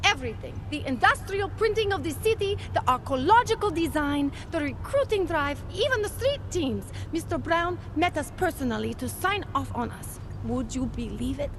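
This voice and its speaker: Game role: female company rep, earnest and persuasive, high register, lively swooping intonation, true-believer polish. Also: normal speed, hardly any pauses, background noise. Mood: fervent admiration. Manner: fervent, polished sales pitch delivery. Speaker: company representative. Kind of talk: video game dialogue acting.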